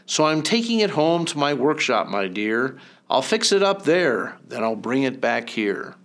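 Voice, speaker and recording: A man reading a storybook aloud, voicing a sly, thieving, holiday-hating creature with a slick, deliberate delivery. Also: mid-range voice, big pitch swings, fairly bright tone. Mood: falsely sweet.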